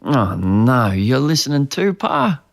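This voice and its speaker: A man's voice: medium pitch, sing-song, slow, music in the background. A man playing a weary, frustrated Australian adult son, speaking in a casual, colloquial way. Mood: tired, reluctant.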